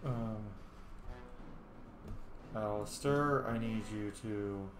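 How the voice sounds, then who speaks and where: A man's voice: on the low side, very slow. Echoey man doing casual gameplay commentary. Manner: informal, exclamatory. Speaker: let's play host. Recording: very echoey room, game music underneath. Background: loud game music.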